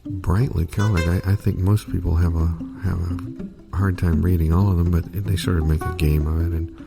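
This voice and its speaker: A man playing an earnest, musing classical music commentator in an improvised parody, very gravelly, off-mic. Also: low-pitched, fairly even intonation, moderate pace. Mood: reverent musing. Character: earnest, low-key male classical music broadcast commentator. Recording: off-mic, loud guitar music behind.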